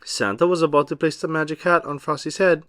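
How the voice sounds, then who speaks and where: A man narrating a children's storybook aloud as an expressive, emphatic storyteller. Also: fairly high pitch, fairly quick, clean tone, dry recording, studio quality.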